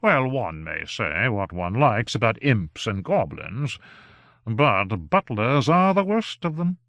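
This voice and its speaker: A man's voice: mid-range voice, big pitch swings, slow pace, gravelly, a bit bright, dry recording, heavily acted. A man voicing an amused, flippant aristocratic poet in a storybook, languid and mocking.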